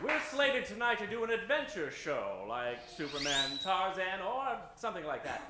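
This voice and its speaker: A man's voice: high-pitched, very animated, normal speed, very noisy. A man emceeing a live improv show on stage in a playful, theatrical manner. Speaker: improv show emcee taking audience suggestions. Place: stage before a live audience. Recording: roomy live stage recording.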